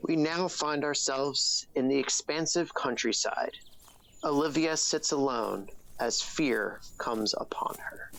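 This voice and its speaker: A performer playing a hushed scene-setting narrator in a scripted audio drama. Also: mid-range voice, takes it slowly, vocal fry, soft background music, poor audio.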